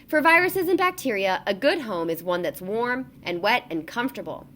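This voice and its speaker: A woman reading a children's picture book aloud in an expressive, emphatic storytelling delivery. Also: on the high side, normal speed, a little hiss or hum.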